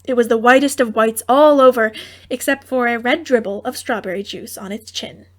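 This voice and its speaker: Casting: female audiobook narrator for comic fairy tale, higher-pitched voice, droll and theatrical. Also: normal speed. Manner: theatrical, droll storytelling with wry asides.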